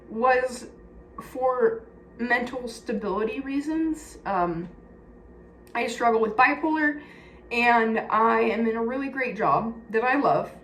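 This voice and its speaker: Higher-voiced Californian woman in an echoey room. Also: fairly bright tone. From California, United States. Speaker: personal vlogger. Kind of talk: candid casual chat to viewers. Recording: echoey room with faint background noise.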